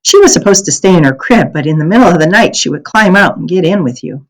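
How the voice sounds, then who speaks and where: An earnest, thoughtful young girl, the sensible older sister of a storybook tale, acted out by a woman. Her intonation is lively and varies widely. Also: fairly low pitch, quick pace, low-quality recording. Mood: fond.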